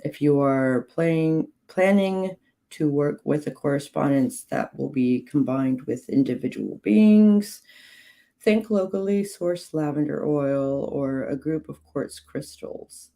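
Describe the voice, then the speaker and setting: A woman hosting a livestream, reading witchcraft guidance aloud in a measured way, her voice slightly hoarse. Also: low voice, mellow. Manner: slow, measured reading with clipped, dry asides to chat. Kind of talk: reading aloud with chat asides.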